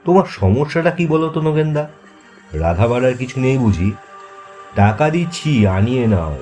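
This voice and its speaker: A man's voice: medium pitch, big pitch swings, moderate pace, dark tone, soft background music, voice pushed up, natural voice. A man voicing an irritated, impatient visitor to his ancestral home in an audio story, brusque and questioning. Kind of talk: dramatized horror audio story.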